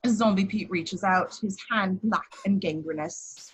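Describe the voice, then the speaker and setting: A woman's voice: medium pitch, unhurried, slightly rough. A woman reading out scripted action descriptions as narrator at an amateur table read.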